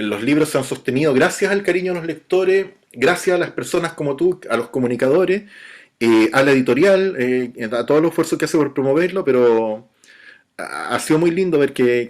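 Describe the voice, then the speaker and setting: A middle-aged man with a Chilean accent, a novelist being interviewed, talking in a relaxed, chatty way with frequent restarts. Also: medium pitch, moderate pace. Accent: Chilean Spanish. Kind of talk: casual interview talk.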